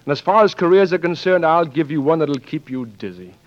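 An actor playing a brash, romantic American flyer, slangy and sardonic, in 1940s radio drama style. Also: male voice, a little high, expressive, a little fast, close to an everyday voice. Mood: bitter, sarcastic. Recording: muffled, noisy 1940s radio recording.

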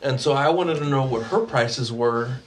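A man's voice: mid-range voice, normal speed, rough recording. Rambling man telling a story. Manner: rambling, restarts, casual swearing. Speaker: guest, photographer. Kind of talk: casual storytelling.

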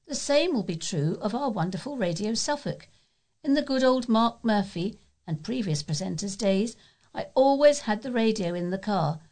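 A woman's voice: mid-range voice, darkish tone. A woman reading local newspaper letters aloud as a volunteer newsreader.

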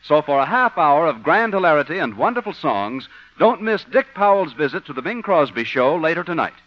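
A man's voice: fairly high pitch, sing-song, a little fast, dry recording. A man announcing and narrating a scripted 1950s radio show. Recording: muffled old radio broadcast. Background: music.

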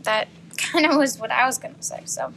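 A woman's voice: fairly high pitch, moderate pace, vocal fry, a bit bright, slight background noise. A woman co-hosting a casual faith podcast, chiming in with short, teasing remarks. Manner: brief teasing interjections. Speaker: podcast co-host.

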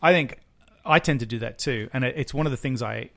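A man's voice: mid-range voice, fairly quick, creaky, a bit bright. Podcast guy, mid voice, keeps restarting his sentences, swears casually. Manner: hesitant, restarts and trails off while thinking aloud. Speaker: podcast co-host, musician. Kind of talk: casual chat.

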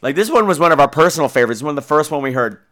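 A man's voice: fairly high pitch, animated, rapid-fire, a bit bright. A man hosting a podcast, telling casual comic stories in a gossipy, catty, profane way with dramatic emphasis. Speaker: podcast host.

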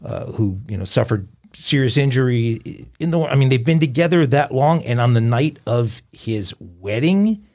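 A man's voice: mid-range voice, expressive, moderate pace, a little mellow. A man co-hosting a crime podcast, talking through a story with hesitant fillers. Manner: hesitant, many fillers while explaining. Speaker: podcast co-host. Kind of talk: casual news chat.

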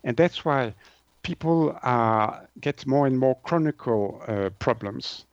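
Guy explaining stuff in an interview, searches for words, medium pitch, dry but kinda dull audio. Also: lively intonation, dry recording. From Switzerland. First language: French. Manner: halting, hesitant delivery with word searching. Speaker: naturopath, interview guest.

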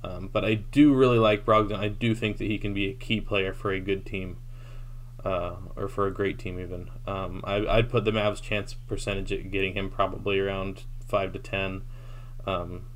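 A man's voice: low voice, little pitch movement, moderate pace, slight background noise. A man co-hosting a casual basketball podcast, steadily reeling off stats with frequent ums. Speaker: podcast co-host. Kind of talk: casual sports talk.

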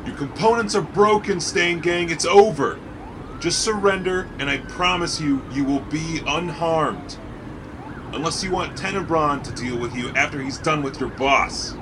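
A man's voice: on the high side, measured pace, background noise, close to an everyday voice. A man doing a firm superhero demanding surrender. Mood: commanding. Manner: firm, commanding.

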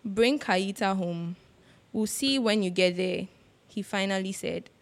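A woman's voice: mid-range voice, a little slow. A woman reading a piece of fiction aloud as scripted narration. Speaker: reader.

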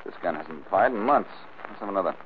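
A cool, cocky detective in a 1940s radio mystery. His very low voice is self-assured and wry. It comes through a thin old broadcast recording with faint noise. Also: expressive, fast talker. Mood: cool, probing. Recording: narrow-band noisy old radio recording.

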